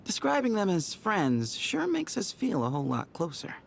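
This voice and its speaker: An easygoing, learned desert temple man in a video game. His voice is gravelly and creaky, with lively, swinging intonation. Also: high voice, moderate pace, a little hiss or hum, exaggerated voice. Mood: friendly, relaxed.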